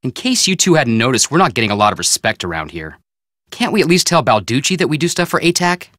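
An actor voicing a hot-headed, sulky teenage boy detective in a video game, excitable and sarcastic, with an American accent. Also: medium pitch, fast talker, no room sound, studio quality. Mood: eager, indignant. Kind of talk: video game character acting.

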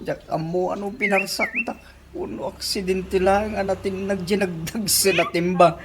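A performer playing a gossip-loving, defensive husband in an Ilocano radio comedy drama, animated and exclamatory, with an Ilocano accent. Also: mid-range voice, moderate pace, noisy background, close to an everyday voice. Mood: chatty.

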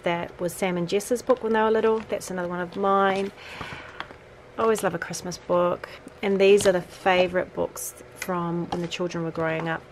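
A woman's voice: on the low side, slight background noise, rough recording. A woman in her forties chatting as she sorts a bedroom, talking to her sons between remarks, with lots of fillers. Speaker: vlogger, mother. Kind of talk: casual decluttering narration. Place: bedroom, indoors.

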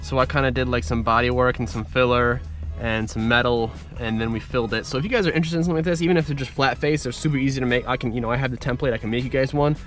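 A young man with a congested voice, chatting excitedly to viewers as he works on his car in a driveway, recorded on a head-mounted camera. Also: mid-range voice, rapid-fire, faint music behind. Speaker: car vlogger. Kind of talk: casual point-of-view vlog. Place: outdoors in a driveway, working in a car. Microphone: head-mounted action camera.

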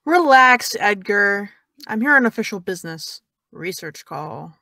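A woman's voice: medium pitch, big pitch swings, measured pace, vocal fry, pushed and bright, dry recording, full character voice. A young actress playing a sarcastic young woman who teases and needles in an audio drama. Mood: sly teasing. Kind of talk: audio drama dialogue of a video call.

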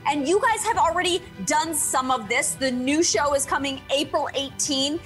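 High, bubbly woman host. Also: normal speed, faint music behind. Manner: bubbly, excitable. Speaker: show host. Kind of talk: interview. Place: home.

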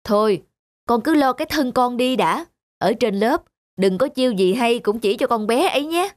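Một người phụ nữ đóng bà mẹ hay lo, giọng khá cao. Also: female voice, fairly high pitch, animated, moderate pace, no echo, close to an everyday voice. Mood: bossy, fussy. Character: pushy, jealous, doting ballet mother. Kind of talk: cartoon dubbing.

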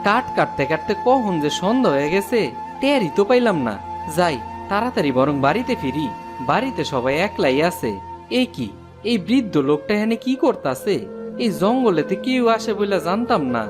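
A man's voice: high voice, animated, normal speed. A man voicing a kind, helpful village woodcutter in a cartoon, earnest and folksy, with a rural Bangladeshi accent. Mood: curious, concerned. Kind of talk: cartoon storytelling dub. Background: prominent music.

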